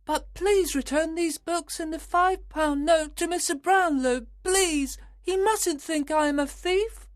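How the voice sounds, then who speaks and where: A man voicing a gentle young orphan boy who pleads in a high voice, in an audiobook reading. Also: a little slow, hardly any pauses, no room sound, clean studio sound. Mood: pleading.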